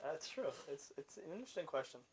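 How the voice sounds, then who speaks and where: A man's voice: on the high side, fast. A young Canadian man chatting casually on a podcast, telling stories and acting out what people said, laughing often. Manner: animated storyteller, laughs often, acts out quoted lines. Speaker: podcast co-host. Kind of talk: casual chat.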